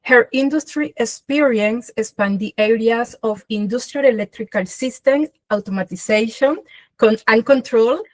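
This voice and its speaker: A woman's voice: a little high, a little slow, no echo. A woman hosting an online webinar, introducing a guest speaker by reading her bio, with small slips and restarts. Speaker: webinar host. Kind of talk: formal introduction. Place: online video call.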